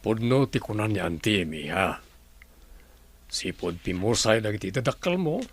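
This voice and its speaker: An actor playing an apologetic, fatherly middle-aged uncle in a radio drama, earnest and gentle. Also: fairly low pitch, lively intonation, moderate pace, breathy edge.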